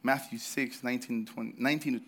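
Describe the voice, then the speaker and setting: A man's voice: mid-range voice, steady tone, fairly quick, a bit bright. A man in his thirties preaching a sermon in church, telling a story with laughs and restarts, his throat slightly sore. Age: 30s. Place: church service.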